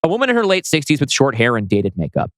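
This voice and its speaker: A man's voice: mid-range voice, huge pitch swings, fast talker, no room sound, clean studio sound. A man reading scripted screenplay narration as writer and narrator.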